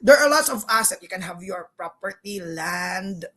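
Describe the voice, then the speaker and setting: A woman's voice: medium pitch, lively intonation, moderate pace, fairly bright tone. A woman hosting an online business discussion, talking in an affirming, conversational way as she introduces speakers and comments. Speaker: host.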